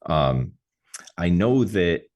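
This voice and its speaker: A man hosting a podcast conversation, talking casually and haltingly with lots of restarts. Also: low-pitched, sing-song, darkish tone. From New York State. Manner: halting, many restarts and fillers. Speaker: podcast host, mover. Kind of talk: casual interview chat.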